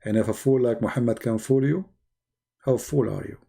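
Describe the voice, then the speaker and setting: A man's voice: mid-range voice, a little fast, gravelly, dark tone, breathy edge. A man rambling sarcastically as host of a livestream chat, full of "you know" fillers. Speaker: channel host. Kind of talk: joking livestream chat with viewers.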